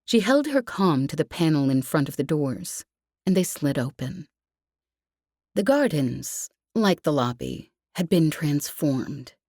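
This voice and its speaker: Female audiobook narrator for fiction. Low register, slow delivery, very dry sound. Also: sing-song, darkish tone, dry recording.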